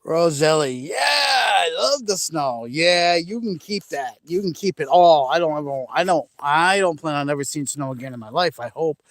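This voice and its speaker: A man's voice: a little high, lively intonation, moderate pace, hardly any pauses, fairly bright tone, no room sound, clean studio sound. A middle-aged man from Maine with a faint Maine accent, chatting casually with viewers outdoors by a lake and rambling with easy laughs. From Maine, New England. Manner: chatty, rambling, laughs easily, frequent restarts. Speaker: vlogger. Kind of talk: casual live chat with viewers. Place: outdoors by a large lake at sunset. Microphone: phone camera held by hand.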